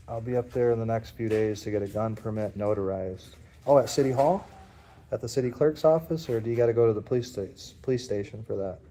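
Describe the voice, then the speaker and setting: A man's voice: mellow, slight background noise. A man, livestreaming casual commentary in a public building, rambling and talking straight to his viewers. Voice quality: deep. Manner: rambling, defiant, addresses viewers directly.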